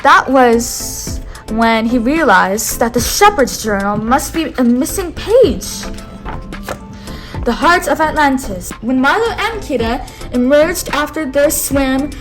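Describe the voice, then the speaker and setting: Woman, storybook read-aloud, teacherly, prompts to repeat words, high voice, soft music behind. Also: animated, slow. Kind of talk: reading a picture book aloud to a child.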